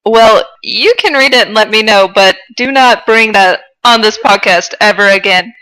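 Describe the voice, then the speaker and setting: A woman's voice: medium pitch, normal speed, bright, forceful tone, smooth, low-quality recording. A woman co-hosting a podcast in casual comic banter, with a mock-exasperated manner. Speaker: podcast co-host.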